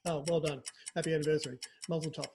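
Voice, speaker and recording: High-voiced man, teasing mock-formal host. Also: level tone, quick pace, a little mellow, slight background noise. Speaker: interview host. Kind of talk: scripted quick-fire comic interview.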